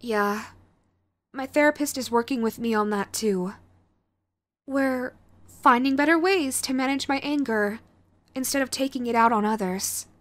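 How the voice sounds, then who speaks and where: A woman's voice: fairly high pitch, measured pace, pauses often, fairly bright tone. A woman playing a young, sweet, clingy yandere girlfriend in an intimate audio roleplay, with a cutesy, hesitant manner. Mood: remorseful, hesitant. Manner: cutesy, hesitant, gushing pet names. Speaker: voice actress.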